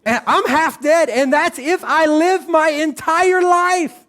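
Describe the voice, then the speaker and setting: A man, preaching a sermon on a church stage in a relaxed, joking, conversational way. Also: very high-pitched, slow. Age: 30s. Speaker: pastor.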